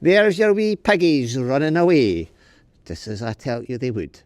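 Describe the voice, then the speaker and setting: A man's voice: medium pitch, very animated, a little slow, darkish tone, no echo. A man voicing a defiant noblewoman commanding a castle, scoffing and teasing.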